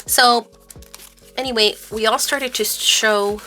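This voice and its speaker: A woman vlogging about budgeting in casual, informal chat, speaking in a halting, stop-and-start way. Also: fairly high pitch, fairly even intonation, pushed and bright, faint music behind. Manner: informal, halting storytelling interleaved with counting. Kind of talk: casual chat while counting cash aloud.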